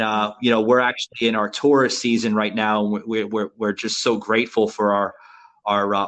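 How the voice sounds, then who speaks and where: A man from Florida co-hosting a podcast interview, talking in a halting way full of restarts and "you know" fillers. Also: fairly low pitch, fairly bright tone. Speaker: podcast co-host. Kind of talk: casual interview chat.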